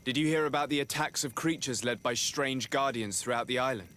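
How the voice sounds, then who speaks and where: An actor playing an earnest young nobleman in a video game, speaking formally and gravely as a resolute envoy. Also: mid-range voice, fairly quick, pushed and bright, a little hiss or hum, close to an everyday voice. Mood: grave urgency. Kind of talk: dramatic video game dialogue.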